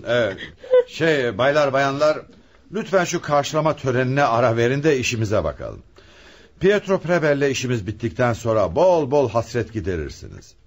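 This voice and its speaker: Husky, commanding police commissioner. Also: male voice, medium pitch, big pitch swings. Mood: brisk, businesslike. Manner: commanding, deliberate, theatrical. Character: brisk, authoritative male police commissioner interrogating suspects. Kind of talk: radio play acting.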